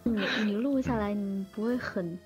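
A woman's voice: mid-range voice, moderate pace, a little mellow. A woman interviewer asking questions in a casual chat. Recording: dull lo-fi voice chat with music. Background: music.

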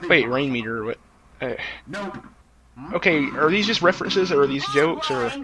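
A man's voice: fairly high pitch, lively intonation, moderate pace, crowd noise. A young man chatting casually as a bemused, questioning co-commentator. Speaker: co-commentator reviewing an amateur anime parody. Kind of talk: casual reaction chat. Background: soundtrack of a loud anime video.

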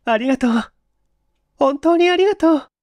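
A woman's voice: high voice, expressive, normal speed, darkish tone, dry recording. A woman playing a polite, composed young lady with a secret, pleading in refined feminine speech in a mystery audio drama. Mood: grateful.

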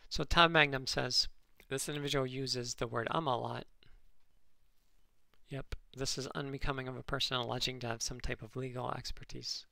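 A man with a nasal voice, hosting his channel and replying to comments in a halting, dryly sarcastic way, full of ums and restarts. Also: mid-range voice, fairly even intonation, vocal fry, sharp tone, no echo. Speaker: channel host responding to comments. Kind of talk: casual commentary reading comments aloud.

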